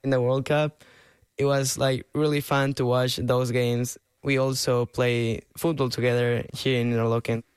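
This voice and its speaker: A man's voice: mid-range voice, little pitch movement, moderate pace, smooth, no echo, studio quality. An eighteen-year-old man from Peru with a Peruvian Spanish accent, giving earnest, casual interview answers in simple second-language English. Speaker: interview guest, clarinet student.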